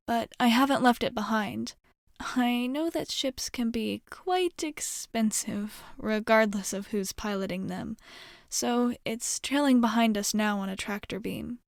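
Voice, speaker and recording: Slow, soft-spoken gentle alien woman, acted by a woman. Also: medium pitch, no room sound, studio quality, lightly acted. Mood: gentle, apologetic. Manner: soft-spoken, hesitant, reassuring, with pauses. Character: gentle, curious, lonely female alien space nomad. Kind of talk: ASMR roleplay, soft intimate acting.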